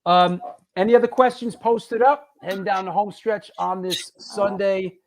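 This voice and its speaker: A man's voice: high-pitched, steady tone, moderate pace. A man with a Manhattan accent hosting a live-stream show, upbeat as he reads out viewer questions. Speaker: host.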